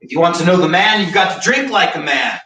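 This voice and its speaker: An actor in a staged reading playing a bitter, drunken older philosophy professor, pompous and sardonic. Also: male voice, sits high, expressive, fast talker, bright, forceful tone. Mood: mocking. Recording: echoey room, distant microphone.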